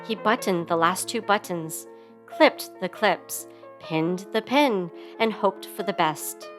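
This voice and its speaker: A woman's voice: medium pitch, slow, fairly bright tone. A woman reading a children's story aloud expressively, giving sound words theatrical emphasis, with instrumental music behind her. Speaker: storybook reader.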